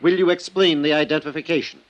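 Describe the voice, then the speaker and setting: Actor as a formal, higher-voiced male prosecutor, old radio. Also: fairly even intonation, fast, dull audio, close to an everyday voice. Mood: formal, probing. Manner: formal, crisp questioning. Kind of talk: 1950s radio courtroom drama acting. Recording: noisy vintage radio recording.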